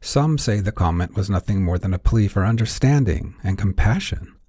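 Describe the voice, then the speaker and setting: Deep, husky male storyteller. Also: lively intonation, warm tone, dry recording, clean studio sound. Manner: measured, wry, theatrical storyteller delivery. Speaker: narrator and author. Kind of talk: scripted fiction narration, noir storytelling.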